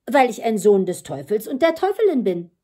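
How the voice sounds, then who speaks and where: Woman putting on a cheeky, high-voiced shepherd boy. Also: brisk. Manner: lively, emphatic, incredulous. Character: cheeky, clever shepherd boy called Little Devil.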